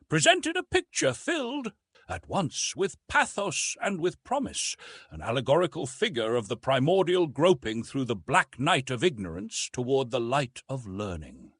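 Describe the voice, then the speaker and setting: A man narrating a literary audiobook in an expressive, measured storytelling manner with dramatic emphasis. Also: mid-range voice, dry recording, clean studio sound.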